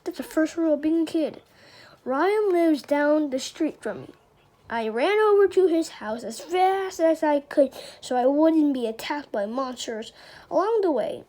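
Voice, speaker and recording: A woman's voice: high-pitched, lively intonation, measured pace, mellow. A female reader reading a children's chapter book aloud, often stumbling over words and going back over phrases.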